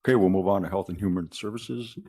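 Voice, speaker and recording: A man's voice: low-pitched, quick pace, warm tone. A man speaking procedurally as the chair of a board meeting.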